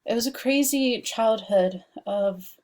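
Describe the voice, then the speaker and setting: A woman's voice: on the high side, slow pace, a little mellow. A young woman from Washington DC giving a casual recorded class presentation as a student, chatty and full of "like" and "um". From Washington DC, United States. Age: young adult. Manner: chatty, frequent "like" and "um" fillers. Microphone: laptop webcam mic.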